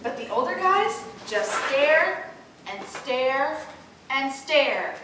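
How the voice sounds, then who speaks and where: A woman's voice: high-pitched, big pitch swings, takes it slowly, heavily acted. A woman playing a vain, ditzy young actress with bubbly, emphatic chatter in a stage play rehearsal. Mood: self-satisfied, chatty. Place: echoey indoor rehearsal room. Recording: very echoey room, distant mic, faint noise.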